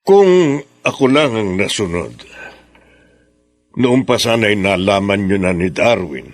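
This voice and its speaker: A man's voice: on the low side, expressive, a little slow, no room sound. An actor playing a guilty, apologetic middle-aged father in a radio drama. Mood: remorseful.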